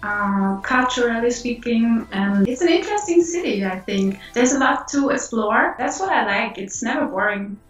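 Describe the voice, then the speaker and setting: An earnest woman with a somewhat high voice answers questions with small hesitations. She sounds away from the mic, and the room echoes, with music faint beneath her. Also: animated, normal speed, few pauses, lo-fi sound. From Austria. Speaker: committee member. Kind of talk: interview answers. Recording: distant roomy with faint music.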